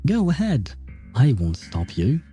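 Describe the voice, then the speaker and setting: A man's voice: medium pitch, huge pitch swings, measured pace, dark tone, faint music behind. A man voicing a scornful older coach from a game story, sarcastic and cutting. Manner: mocking, sarcastic.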